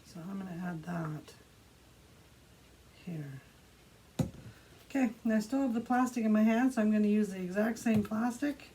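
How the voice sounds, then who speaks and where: A woman with a soft, mellow voice at an average pitch talks through a hands-on craft demonstration. She is casual and unhurried, describing each step as she does it. There is some faint noise behind her. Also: rough recording. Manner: unhurried step-by-step narration of own actions. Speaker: art tutorial host. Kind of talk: casual craft demonstration, talking while working. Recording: clearly audible background noise.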